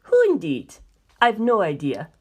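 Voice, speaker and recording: A woman's voice: on the low side, huge pitch swings, unhurried, darkish tone, breathy edge, exaggerated voice. Woman reading as a composed, dry mafia man. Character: composed male mafia member reporting calmly, dryly evasive.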